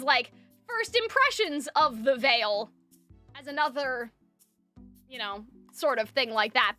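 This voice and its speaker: A woman's voice: sits high, sharp tone. A young adult woman streamer in casual chat, rambling with fillers and restarts, her voice dry from lack of water.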